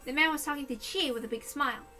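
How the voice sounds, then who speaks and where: A woman voicing a teenage boy narrator who broods and then berates himself, her voice hoarse. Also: sits high, quick pace, music in the background, natural voice. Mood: brooding. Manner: swings from musing to shouting at himself. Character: teenage boy narrator, jumpy and self-blaming.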